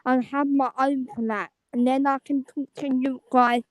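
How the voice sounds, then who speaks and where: Young woman caller from the Caribbean, high voice, slow, halting, stumbling speech, dry sound. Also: fairly even intonation, no room sound. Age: 20s. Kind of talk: casual chat.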